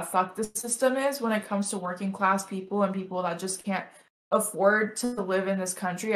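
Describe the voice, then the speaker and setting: An earnest young woman from the United States talks through her views on a live stream, restarting sentences and filling gaps with ums. Her voice sits at an everyday pitch, with a roomy, echoing sound around it. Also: fairly bright tone. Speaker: political streamer, guest. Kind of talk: casual talk stream conversation.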